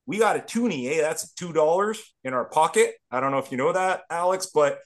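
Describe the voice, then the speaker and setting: A man's voice: on the high side, expressive, a little fast. A Canadian man co-hosting a podcast, speaking with enthusiasm and frequent restarts. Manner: enthusiastic, repeats words as he sets up a question. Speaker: podcast co-host. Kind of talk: casual podcast chat.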